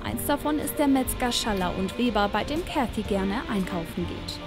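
A woman's voice: mid-range voice, animated, moderate pace. A young woman reporter narrating a travel TV segment in a light, chatty way. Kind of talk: scripted TV voice-over narration. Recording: voice-over with clear background music.

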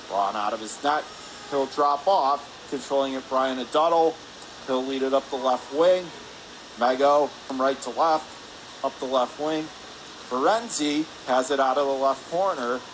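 A man calling live hockey play-by-play for radio from an indoor rink. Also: mid-range voice. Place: spectator area of an indoor ice rink. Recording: noisy arena hum behind the voice.

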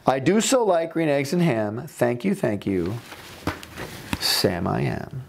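A man's voice: mid-range voice, big pitch swings, slow pace, creaky, background noise, exaggerated voice. A man reading a storybook as a grumpy old creature turned delighted, exclaiming in bouncy rhyme. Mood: delighted, grateful.

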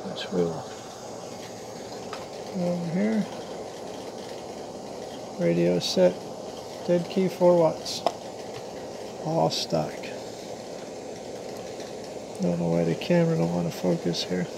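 A man's voice: high voice, slow, pauses often. An American man giving a casual, matter-of-fact product demonstration in short, plain-spoken phrases. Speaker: radio hobbyist presenter. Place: small indoor room at a radio bench. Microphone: handheld camera or phone mic. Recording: clear steady background hiss and hum.

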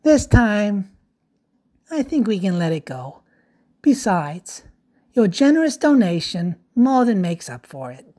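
A woman voicing a kindly middle-aged librarian in a storybook read-aloud, gentle and reassuring. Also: mid-range voice, sing-song, slow.

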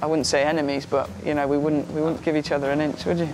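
A man's voice: fairly high pitch, little pitch movement, quick pace, music in the background, poor audio. A young man from England, a jockey, chatting casually in an interview and leaning on "you know".

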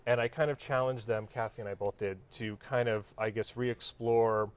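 A man's voice: mid-range voice, moderate pace, clean tone. A middle-aged man, owner of a gallery and toy shop, explaining things casually on a TV studio set with a lapel mic. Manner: relaxed, explanatory, frequent ums and "sort of". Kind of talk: morning TV interview guest.

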